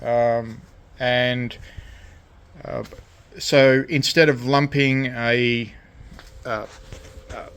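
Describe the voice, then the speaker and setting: A man giving an unscripted hands-on demonstration of a DIY electronics project, with a halting, rambling delivery. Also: medium pitch, steady tone, a bit bright, smooth. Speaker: DIY electronics hobbyist presenting his project. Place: indoor radio shack workbench.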